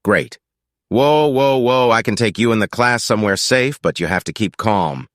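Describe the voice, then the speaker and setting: A man voicing a tense, commanding security operative who is trying to keep people calm in an audiobook story. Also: fairly low pitch, normal speed, no echo, clean studio sound. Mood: forced calm. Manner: urgent, commanding. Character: tense male security operative, gruff, urgent, forcing calm.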